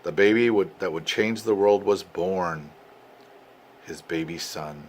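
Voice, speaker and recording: Older man reading a storybook, low voice. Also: unhurried. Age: 60s or older. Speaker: grandfather reading aloud to family. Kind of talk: expressive storybook read-aloud. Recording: noisy amateur home recording.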